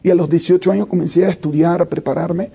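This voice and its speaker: A man's voice: fairly high pitch, steady tone, fast talker, creaky, dark tone, husky. A man, a worship leader answering interview questions reflectively and with frequent hesitations. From Brazil. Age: 30s.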